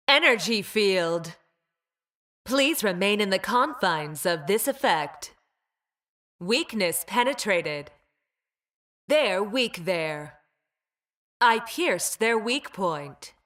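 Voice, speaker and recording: Slow, bright-voiced, coldly logical combat medic. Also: female voice, medium pitch. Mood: clinical, detached. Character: cold, logical, orderly female former combat medic. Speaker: video game character voice. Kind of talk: short scripted game voice lines.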